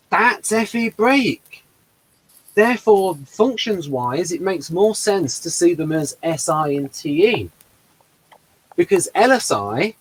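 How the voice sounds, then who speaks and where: A man with a slightly high voice hosts a relaxed conversation. He rushes through his reasoning, making his case with quick, rambling explanations. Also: breathy edge, low-quality recording. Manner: fast, rambling, argumentative explanations. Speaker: host. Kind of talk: casual discussion of personality typing.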